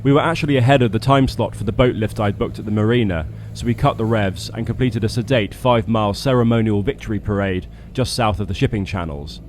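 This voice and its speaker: A man's voice: lowish voice, fast, hardly any pauses, a little mellow, slight background noise. A British man narrating a scripted vlog as a boat skipper, with a wry, dryly humorous manner. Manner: wry, dry humour.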